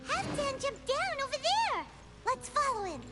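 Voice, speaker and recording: Tiny excitable fairy girl from an anime game, super high n swoopy, music behind. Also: unhurried, heavily acted. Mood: alert. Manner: squeaky, exclamatory, speaks of self in third person.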